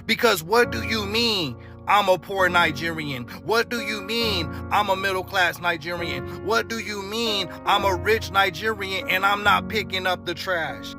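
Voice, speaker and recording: High-voiced sarcastic male vlogger. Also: a little slow, hardly any pauses. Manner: sarcastic, rhetorical questions. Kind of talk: walking vlog commentary. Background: music.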